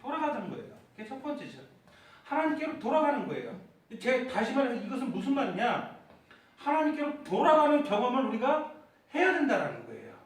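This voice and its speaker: A man preaching a sermon as pastor, asking the congregation questions and rising to fervent, shouted prayers. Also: very high-pitched, wildly swooping pitch, brisk. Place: church sanctuary. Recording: echoey church hall heard from far off.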